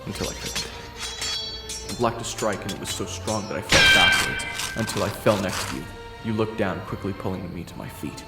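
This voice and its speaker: Deep-voiced man narrating an audio roleplay. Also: expressive, normal speed. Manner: dramatic, storytelling. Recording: echoey room with loud music.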